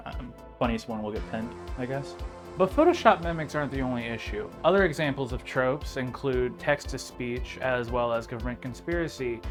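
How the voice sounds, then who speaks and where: A young man narrates a video essay in a medium-pitched voice over background music. His delivery is informal and conversational. He slips sardonic, joking asides into the scripted commentary.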